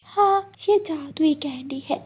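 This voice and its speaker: A woman voicing a kind female fairy in a cartoon, speaking in a gentle, soothing manner. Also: sits high, takes it slowly, background noise. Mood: reassuring.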